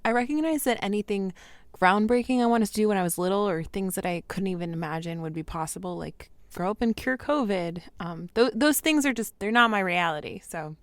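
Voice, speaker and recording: An actress playing a resigned, insecure young woman who records a halting, sighing, reflective diary in an audio drama. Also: mid-range voice, animated, dry recording, close to an everyday voice. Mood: resigned, vulnerable. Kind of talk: naturalistic audio drama monologue.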